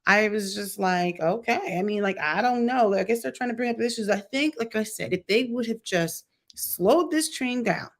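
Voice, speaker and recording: A woman's voice: mid-range voice, moderate pace. A middle-aged woman giving candid, casual opinion commentary as a vlogger, talking in a chatty, blunt way with mocking asides. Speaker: vlogger reviewing a TV show.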